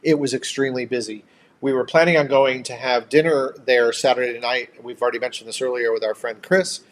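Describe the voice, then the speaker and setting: A man's voice: medium pitch, fairly even intonation, fairly quick, a little hiss or hum. American guy just chatting on a livestream, upbeat n playful, kinda chatty host type. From upstate New York, United States. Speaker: host of a couple's travel live chat. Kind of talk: casual chat.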